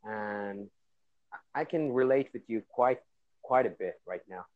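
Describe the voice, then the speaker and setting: A man from India talks in a measured, agreeable way, his Indian accent shaded by practice at an American one. The recording sounds dull and lo-fi, dry with no room around it. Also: lowish voice, dry recording. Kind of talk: casual chat.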